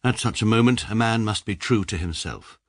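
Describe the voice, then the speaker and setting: A dry, pompous barrister. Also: male voice, on the low side, dry recording. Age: middle-aged. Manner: dry, measured, sarcastic. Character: short, pompous, detached middle-aged male barrister. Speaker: barrister narrating his own story. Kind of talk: radio comedy drama narration.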